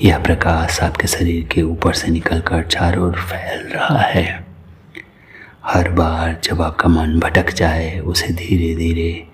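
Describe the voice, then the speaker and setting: A man narrating a guided meditation in a calm, soothing, unhurried way, with soft breathy edges to his voice. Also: sits low, a little hiss or hum.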